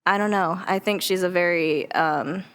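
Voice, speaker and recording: A woman's voice: lowish voice, moderate pace, a bit bright, clean tone. A woman guest chatting casually on a podcast, informal and tentative, often saying "like" as she feels out her answer. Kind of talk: casual chat.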